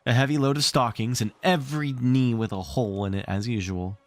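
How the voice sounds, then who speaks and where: A man's voice: mid-range voice, steady tone, brisk, no room sound, faint music behind. A man reading a storybook aloud as a narrator. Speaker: streamer reading a book aloud.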